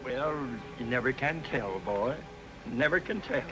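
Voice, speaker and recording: A man's voice: medium pitch, animated, unhurried, over music, lightly acted, rough recording. An actor playing a regretful old miner grandfather in a TV Western, with a folksy, hesitant American drawl. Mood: sheepish, hesitant. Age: older. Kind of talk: 1950s TV Western acting.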